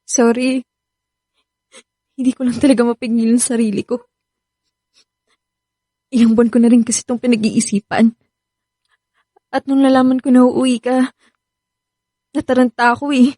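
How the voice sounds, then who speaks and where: A woman's voice: on the high side, level tone, normal speed, pauses often, warm tone, no room sound, clean studio sound, close to an everyday voice. An actress playing a remorseful young woman in tears with a Filipino accent in a radio drama. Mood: tearful, hesitant. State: crying. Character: tearful, remorseful young woman begging an ex back.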